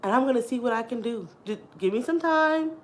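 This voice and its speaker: Woman putting on a soft smooth-jazz radio voice. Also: animated, brisk, natural voice. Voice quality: soft, low and husky.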